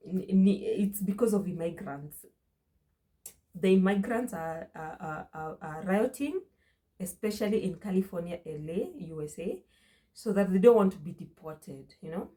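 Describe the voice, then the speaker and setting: A woman's voice: fairly low pitch, dark tone. A young woman with a Kenyan accent, talking to her vlog audience in an emphatic way and repeating phrases for stress. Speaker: vlogger. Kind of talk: casual opinion vlog.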